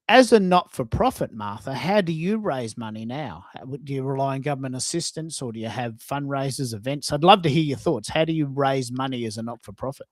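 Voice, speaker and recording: A man's voice: medium pitch, no echo. Aussie guy hosting a live coaching chat, really leans on his words, dry room sound. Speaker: host and social media coach. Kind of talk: live coaching talk show.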